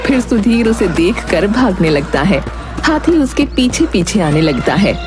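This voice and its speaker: A woman's voice: medium pitch, very animated, measured pace. A woman narrating a scripted cartoon story as the storyteller. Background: music.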